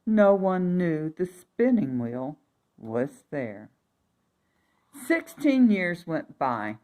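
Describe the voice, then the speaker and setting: Lady reading a fairy tale to kids, lowish voice, super expressive, chatty asides. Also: very slow. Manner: expressive, with emphatic repetition. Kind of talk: read-aloud for young children with chatty asides.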